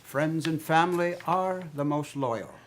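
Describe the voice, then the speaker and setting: A man in a staged play reading playing a polite, slightly halting business negotiator. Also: fairly high pitch, animated, slight background noise. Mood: polite, probing. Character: courteous, approving male business negotiator, slightly hesitant.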